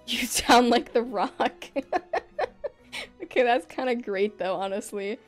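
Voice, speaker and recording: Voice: mid-range voice, slow, faint music behind. A young adult streamer chatting casually over gameplay in their bedroom, rambling and laughing often. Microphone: desk microphone.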